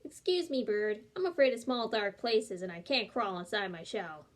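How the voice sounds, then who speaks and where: A woman reading aloud, voicing a shy young boy turtle who is afraid of the dark, with a polite, pleading manner. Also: mid-range voice, moderate pace, lightly acted.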